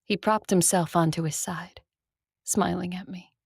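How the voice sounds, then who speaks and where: A woman playing an ancient female vampire conqueror in an audio drama, poised and sensual, speaking in a husky, measured, intimate way. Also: fairly low pitch, fairly even intonation, no room sound, clean studio sound, heavily acted. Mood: wistful, amused. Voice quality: husky, breathy, creaky. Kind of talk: audio drama acting with first-person narration.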